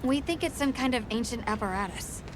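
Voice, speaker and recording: A woman's voice: on the high side, expressive, brisk, close to an everyday voice, poor audio. An actress playing a practical, bossy young woman on a hunting expedition in a video game, speaking directly and decisively. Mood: focused. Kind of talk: video game cutscene acting. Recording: loud music over the voice.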